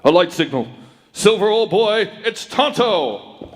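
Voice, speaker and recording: A man's voice: sits high, lively intonation, takes it slowly. A man playing a heroic cowboy lawman in a declamatory old-time radio drama style. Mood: alert, excited.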